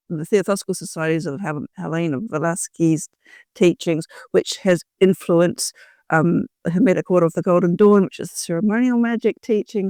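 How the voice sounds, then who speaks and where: Smooth middle-aged New woman. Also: on the low side, a little mellow, no room sound, studio quality. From New Zealand. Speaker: podcast guest, author. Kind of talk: casual autobiographical interview talk.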